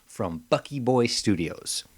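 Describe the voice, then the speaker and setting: A man co-hosting a podcast in casual, comedic chat, joking and mildly profane in an animated way. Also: medium pitch, slow, husky. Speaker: podcast co-host.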